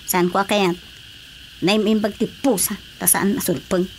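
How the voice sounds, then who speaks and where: A woman playing a lively, defensive wife who dotes on her cat, in an Ilocano radio drama comedy with an Ilocano accent. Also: mid-range voice, a little slow, slight background noise, natural voice.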